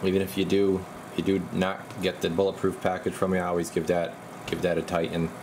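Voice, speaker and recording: Low-pitched gravelly man demonstrating repairs. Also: completely flat delivery, fairly quick, noisy background. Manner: plain-spoken, informal, thinking aloud. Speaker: radio repair technician presenting his work. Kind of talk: casual hands-on repair demonstration.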